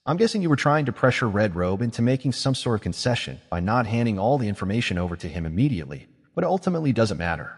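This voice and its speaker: A man's voice: on the low side, sing-song, quick pace, hardly any pauses, breathy edge, in a large hall, heavily acted. A man voicing a guarded, blunt young male mage who speaks dryly and confrontationally.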